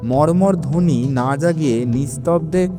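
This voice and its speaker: A man narrating a scripted story in a measured, literary manner. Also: fairly high pitch, mellow. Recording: long reverb, music behind.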